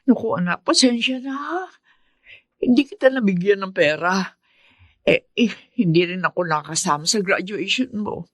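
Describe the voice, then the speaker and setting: An actress playing a loving, apologetic middle-aged mother of a poor family in a radio drama, with a husky voice. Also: mid-range voice, moderate pace, dry recording, studio quality, lightly acted.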